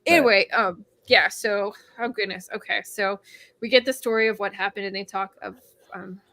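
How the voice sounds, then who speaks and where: A woman hosting a casual book discussion, recapping the story in an animated way with frequent laughter and fillers. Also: medium pitch, moderate pace. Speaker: host of a book read-along.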